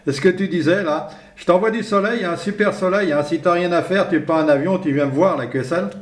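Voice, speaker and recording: A man's voice: high-pitched, fairly quick, slight background noise. A man chatting casually over two-way radio in an easygoing way, often ending phrases with small tag words. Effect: two-way radio transmission. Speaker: amateur radio operator. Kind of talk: casual radio contact chat. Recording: echoey two-way radio transmission.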